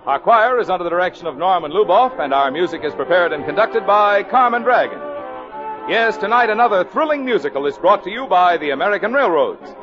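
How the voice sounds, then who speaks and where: A man's voice: high-pitched, animated, a little fast, a crowd in the background. A man announcing a scripted 1950s radio show in a formal, grand, emphatic manner. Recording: muffled old radio broadcast, loud music.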